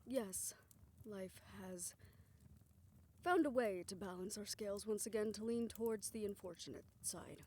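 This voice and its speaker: A woman playing a courteous, formal messenger in a fantasy audio roleplay, speaking in measured phrases. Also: medium pitch, a little mellow, natural voice. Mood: grave, reluctant. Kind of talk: scripted audio roleplay acting.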